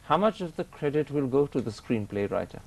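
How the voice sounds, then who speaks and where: A man's voice: mid-range voice, big pitch swings, a little fast, vocal fry, darkish tone. A male interviewer asking direct, probing questions on a TV interview show.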